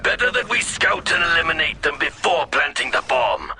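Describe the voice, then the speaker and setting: An actor playing a calm, dutiful alien military commander in a video game, speaking in a firm, measured, tactical manner. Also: male voice, a little high, a little hiss or hum, exaggerated voice. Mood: resolute. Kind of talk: video game dialogue acting.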